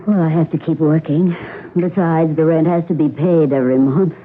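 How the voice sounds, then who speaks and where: A woman's voice: low voice, fairly even intonation, moderate pace, natural voice. Through a narrow, faintly noisy old radio recording comes a woman playing an aging stage actress in a mystery play. She is poised and sardonic, choosing her words with theatrical, ladylike care. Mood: weary, courteous. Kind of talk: radio drama acting.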